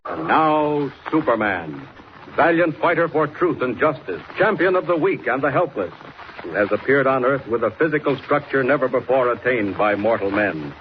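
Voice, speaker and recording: A man's voice: mid-range voice, very animated, normal speed. A man announcing and narrating a 1940s radio serial in a dramatic, declamatory scripted style. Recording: muffled, noisy 1940s radio recording.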